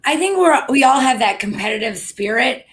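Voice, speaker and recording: A woman's voice: sits high, animated, normal speed. A woman hosting a casual interview, chatty and filling her sentences with "like.". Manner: chatty, lots of filler "like". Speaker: host.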